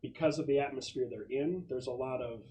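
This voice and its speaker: A man runs a tabletop roleplaying game in a medium-pitched voice. He narrates conversationally, feeling his way through explanations and thinking aloud. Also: a little fast, dark tone. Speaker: game master.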